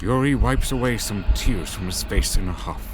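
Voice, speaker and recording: A man's voice: lowish voice, normal speed. A man reading scripted, dramatic story narration as the narrator. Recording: prominent music under narration. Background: music.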